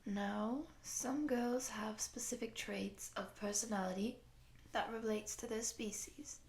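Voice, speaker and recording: A woman playing a polite, earnest program interviewer in a scripted roleplay, speaking softly and breathily in a measured, formal, official manner. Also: fairly high pitch, steady tone, a little hiss or hum. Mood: polite, explanatory. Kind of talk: soft-spoken scripted roleplay audio.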